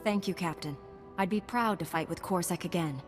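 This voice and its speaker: A woman voicing an earnest, resolute Jedi Knight hero in a video game, with a measured, firm way of talking. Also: on the low side, close to an everyday voice. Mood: earnest resolve. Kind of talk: video game cutscene acting. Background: game music.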